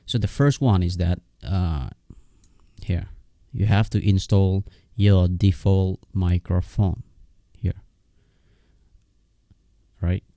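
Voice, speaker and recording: A low-pitched man with a dark, relaxed tone walks through a casual computer tutorial. He speaks off the cuff, repeating himself and pausing to check that the listener follows. The sound is dry and close. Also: no echo. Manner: halting, repetitive, with frequent check-in words. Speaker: software tutorial host. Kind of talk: casual unscripted screen tutorial.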